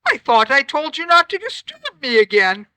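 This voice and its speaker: A woman voicing a grumpy old male magic fish who speaks in slow, loud, weary commands. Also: high voice, very animated, no echo, full character voice.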